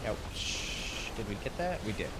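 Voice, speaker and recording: A man's voice: fairly low pitch, big pitch swings, unhurried, pushed and bright, slight background noise. A man streaming a game, chatting casually with viewers, friendly and chatty with frequent ums and laughs. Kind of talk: casual chat while gaming on stream.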